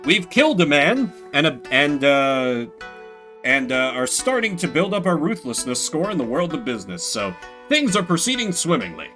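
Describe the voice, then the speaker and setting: A male streamer talks casually through a video game with a wry, joking manner. His voice is bright and lively in pitch, over faint plucked-guitar game music. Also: normal speed. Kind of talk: casual playthrough commentary, reading game text aloud.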